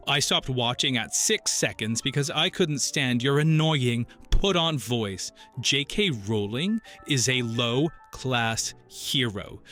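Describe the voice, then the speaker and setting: Man acting out an indignant, sharp-voiced internet commenter. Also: mid-range voice. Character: indignant scolding male internet commenter defending an author. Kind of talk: dramatized reading of online comments.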